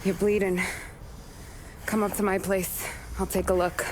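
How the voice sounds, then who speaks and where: A woman's voice: medium pitch, flat delivery, unhurried, background noise, natural voice. Woman playing a sassy young American barmaid. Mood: caring, wry. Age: young adult. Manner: sassy, dry. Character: tough, teasing barkeep's daughter of the 1930s. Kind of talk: dramatic video game acting.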